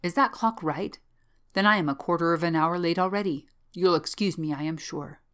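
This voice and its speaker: A woman's voice: lowish voice, moderate pace, a bit bright, close to an everyday voice. A secretive young male student from an audiobook, played by a woman. He sounds nervous and evasive, offering flustered, clipped explanations. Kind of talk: audiobook character voice.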